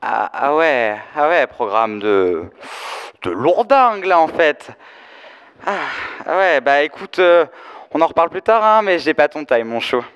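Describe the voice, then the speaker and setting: A camp, high-pitched gossipy best friend. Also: male voice, very animated, a bit bright, clean tone, highs cut off, exaggerated voice. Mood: irritated, dismissive. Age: teenager or young adult. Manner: camp, theatrical, drawn-out exclamations. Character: gossipy, flamboyant, fashion-obsessed DJ best friend. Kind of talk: comic audio fiction with trendy slang. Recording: echoey hall, live stage performance.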